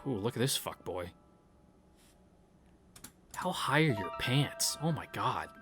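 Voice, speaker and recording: A man's voice: mid-range voice, big pitch swings, takes it slowly, music in the background. A man reading a visual novel aloud as a streamer, casually, with irreverent and crude wisecracks. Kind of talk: casual read-through with wisecracking commentary.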